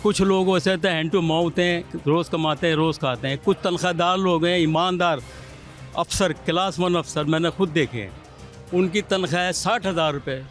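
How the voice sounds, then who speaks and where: Male street interviewee, Pakistani accent, slightly high register, explanatory reasoning style, faint music bed. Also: moderate pace. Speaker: member of the public interviewed. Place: outdoors on a city street. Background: music over urban outdoor sound.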